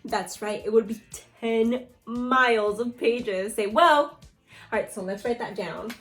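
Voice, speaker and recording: A woman's voice: fairly high pitch, unhurried, fairly bright tone, faint music behind. A woman teaching young children through a read-aloud lesson, reading from a book and prompting pupils with questions and short instructions. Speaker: second-grade teacher.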